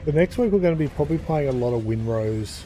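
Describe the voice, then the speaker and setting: Dark-voiced man thinking aloud while gaming. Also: on the high side, lively intonation, quick pace, a little hiss or hum. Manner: rambling, thinks aloud with fillers. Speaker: gaming streamer. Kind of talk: casual gameplay commentary.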